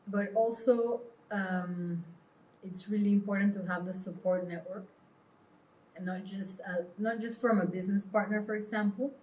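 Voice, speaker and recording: A woman's voice: mid-range voice, steady tone, moderate pace, a little mellow. A woman from Peru speaking as an interview guest, explaining her views earnestly in a conversational way. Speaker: interview guest, clothing brand founder. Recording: roomy, echoing sound.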